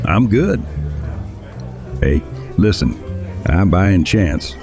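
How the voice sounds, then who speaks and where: A man acting as an older, chummy society host in an audio drama. He talks very slowly in a lowish voice with music behind. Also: very animated, exaggerated voice. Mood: chummy, probing. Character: older wealthy charity host, chummy old friend.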